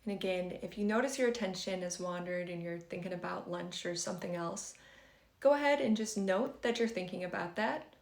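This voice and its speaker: A woman leading a guided meditation for beginners in a gentle, conversational and reassuring way. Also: lowish voice, a little fast, gravelly. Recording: roomy, echoing sound.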